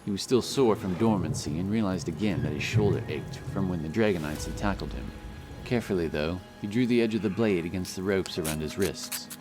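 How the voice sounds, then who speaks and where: Male narrator, low register, dramatic scripted fantasy storytelling over prominent music. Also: fairly quick. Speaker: audiobook narrator. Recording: loud music behind the voice.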